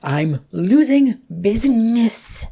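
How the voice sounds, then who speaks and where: Someone putting on a petulant, high-pitched male boss. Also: sing-song, dark tone, husky, full character voice. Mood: indignant. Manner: drawn-out, indignant complaining. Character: petulant, bossy, childish male office boss.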